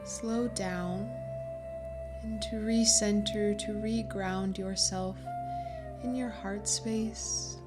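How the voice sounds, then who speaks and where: A woman leading a guided meditation in a gentle, soothing manner, with pauses between phrases. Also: medium pitch, fairly even intonation, over loud music. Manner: slow, gentle, soothing delivery with long pauses.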